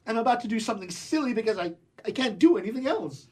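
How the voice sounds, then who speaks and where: Guy doing a cynical lovesick young man in old stage comedy, blustery and theatrical, bit husky, super animated. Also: sits high, quick pace. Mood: reckless ardour. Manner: theatrical, florid, blustering with stammered hesitations. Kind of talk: 1920s comedy radio theatre acting.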